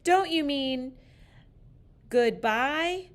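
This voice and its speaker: A woman reader voicing amused young pupils in a storybook who loudly correct their silly teacher, stretching out the corrected words. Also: high-pitched, expressive, voice pushed up, heavily acted. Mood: playful, emphatic. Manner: loud, emphatic, drawn-out correcting.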